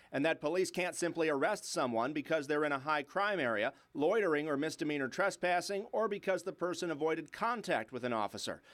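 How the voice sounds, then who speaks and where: A man's voice: sits high, dry recording. A man working as a TV reporter, delivering a scripted news report.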